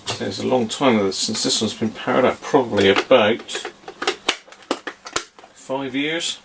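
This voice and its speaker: A man chats casually through a hands-on gadget demonstration, pausing to think aloud. His voice sits at an average pitch, and the recording is heavily noisy, with clicks around him. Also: vocal fry. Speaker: vlogger testing old radios. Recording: very noisy with radio static and clicks.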